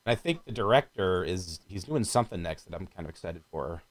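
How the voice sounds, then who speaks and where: A man's voice: low voice, lively intonation, a little fast. A man chatting casually and enthusiastically about films as a podcast co-host, with slangy, profane phrasing.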